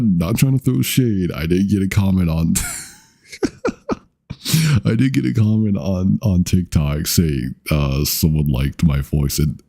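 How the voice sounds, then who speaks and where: A young man with a deep voice, chatting casually with his stream viewers in a rambling, halting way, with lots of fillers, casual swearing and frequent laughs. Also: dark tone, slightly rough. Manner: rambling, halting, with many fillers and self-corrections. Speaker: VTuber streamer.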